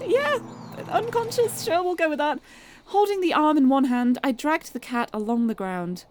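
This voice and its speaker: High-voiced woman streamer reading a visual novel. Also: animated, moderate pace, a little mellow, a little hiss or hum. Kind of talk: live read-aloud of visual novel narration with casual asides. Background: music.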